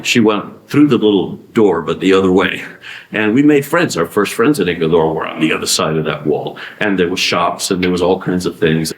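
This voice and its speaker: A man giving a conference talk, animated, asking rhetorical questions and answering them himself. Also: low voice. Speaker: conference speaker. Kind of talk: conference presentation. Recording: echoey room.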